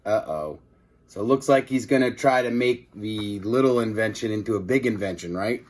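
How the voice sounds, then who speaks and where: A man's voice: lowish voice, normal speed, a little mellow. A man reading a children's book aloud like a teacher, with casual asides to his listeners.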